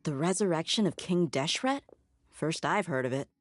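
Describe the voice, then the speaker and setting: A woman's voice: low-pitched, expressive, moderate pace, a little hiss or hum. A woman playing a blunt, worldly, matter-of-fact character in a video game. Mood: frank.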